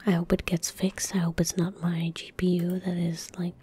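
A young woman speaking calmly and gently in a soft, breathy whisper, giving casual ASMR gameplay commentary close to the microphone. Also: lowish voice. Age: young adult. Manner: calm, gentle, unhurried. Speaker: ASMR gaming streamer. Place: small indoor room at a desk. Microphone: close desk microphone.

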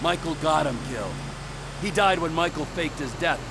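A man's voice: on the high side, moderate pace, close to an everyday voice. An actor playing a volatile, menacing middle-aged criminal trucker in a video game, sardonic and profane, drawing out his words. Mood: bitter. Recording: vehicle noise behind the voice.

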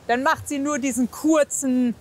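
A woman talking casually as a horse trainer, explaining things on the spot outdoors with a slightly husky voice. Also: high-pitched, steady tone, measured pace, slight background noise. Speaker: horse physiotherapist and trainer, presenter. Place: outdoors at a horse yard and paddock.

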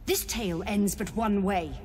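An actress playing a stern, ruthless elf druid leader in a fantasy game, imperious and commanding. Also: female voice, medium pitch, normal speed, darkish tone, breathy edge, lightly acted. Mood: menacing, imperious. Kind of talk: dramatic fantasy game acting. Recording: long reverb with music behind.

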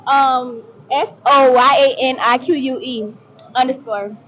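A young woman from Savannah with a Southern US accent, giving soft-spoken answers in a street interview outdoors. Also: high voice, fairly even intonation, measured pace, muffled recording, slight background noise. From Savannah, Georgia. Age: young adult.

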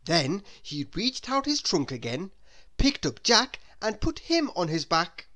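Very animated, slow-paced woman storyteller. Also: fairly low pitch. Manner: deliberate, expressive storytelling with drawn-out phrase endings. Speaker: storybook reader. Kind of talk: children's read-aloud narration.

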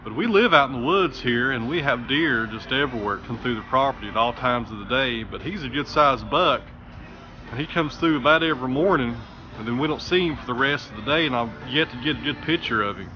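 A relaxed man in his thirties talks casually about his day and his woodworking. His voice sits at an ordinary middle pitch, easygoing and plainspoken. He talks to a handheld camera outdoors in the woods and later in a small workshop. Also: a little fast, highs cut off, a little hiss or hum. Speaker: woodworker and channel host.

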